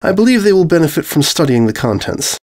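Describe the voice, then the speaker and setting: A man's voice: fairly high pitch, big pitch swings, fast, a little mellow, voice pushed up. A man voicing a solemn, regal female pony in a storybook reading, with formal, thoughtful phrasing. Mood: cool satisfaction.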